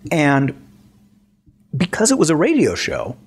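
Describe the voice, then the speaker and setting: A man co-hosting a casual podcast, telling stories in a lively way with lots of restarts. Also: a little high, measured pace, slightly rough. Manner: animated storyteller, many restarts. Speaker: podcast co-host. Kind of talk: casual chat.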